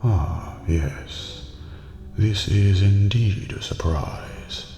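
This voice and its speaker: Eerie old master of a dream prison , acted by a man: slow, dark, formal and ominous, gravelly ASMR near-whisper. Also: fairly low pitch, heavily acted. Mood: ominous, solemn. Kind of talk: scripted ASMR roleplay. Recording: echoey hall reverb with background music.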